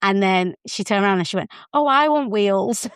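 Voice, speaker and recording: A woman chatting casually as a podcast co-host, telling things in an animated, conversational way. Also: medium pitch, moderate pace, smooth, no room sound, studio quality.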